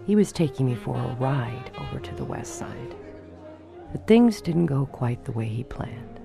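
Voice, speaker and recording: An actress playing a world-weary nightclub torch singer in a noir radio drama, wistful, restrained and stubborn. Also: female voice, a bass voice, very animated, measured pace, creaky, mellow, faint music behind, exaggerated voice. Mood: wistful, subdued.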